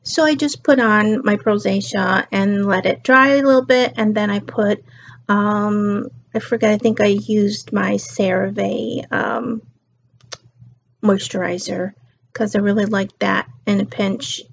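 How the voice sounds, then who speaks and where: A tired middle-aged woman with a slightly raspy voice, chatting casually on her couch into a webcam and drifting between topics. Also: medium pitch, fairly even intonation, measured pace. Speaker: beauty and lifestyle vlogger. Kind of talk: casual chat to camera. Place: living room couch, indoors. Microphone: built-in webcam mic. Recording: dull lo-fi webcam audio.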